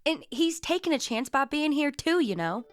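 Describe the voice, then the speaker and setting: An actress playing a steady, guarded middle-aged mother and protective sister in a small town, in radio drama. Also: high voice, normal speed, no room sound. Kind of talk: naturalistic radio drama acting.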